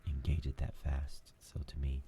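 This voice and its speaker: A man hosting a new ASMR gaming channel, talking in a soft whisper and rambling with lots of fillers. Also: rumbling low, monotone, moderate pace, background noise.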